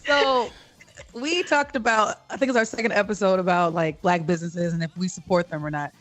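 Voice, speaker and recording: A woman's voice: on the low side, fairly quick, fairly bright tone. An American woman in her late thirties chatting casually and playfully in slang as a podcast guest. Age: late 30s. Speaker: podcast co-host appearing as guest. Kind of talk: casual chat. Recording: music bed under speech. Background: music.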